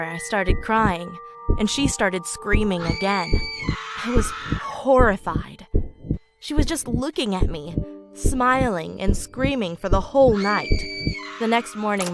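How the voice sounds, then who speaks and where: Woman narrating horror stories over loud music. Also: medium pitch. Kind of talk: scripted first-person horror story narration. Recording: music playing loudly behind, siren sounds. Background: loud music with siren sounds.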